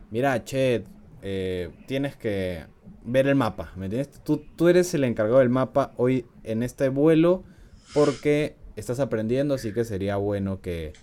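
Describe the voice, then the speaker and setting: Low, mellow-voiced man telling a story. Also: a little hiss or hum. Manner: chatty, laughs often, frequent "¿no?" tags. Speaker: podcast host. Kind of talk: casual storytelling podcast.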